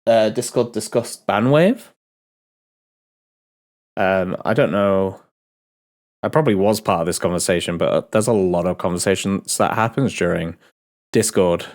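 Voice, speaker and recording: A man hosting a casual game developer Q&A, a bit ill and nasal, rambling with many pauses and restarts. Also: on the low side, darkish tone. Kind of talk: casual dev talk Q&A.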